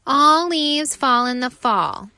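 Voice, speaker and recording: A woman voicing a chorus of smug autumn leaves in a storybook, loud, drawn-out and mocking. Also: high-pitched, expressive, dry recording, voice pushed up, exaggerated voice. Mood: taunting.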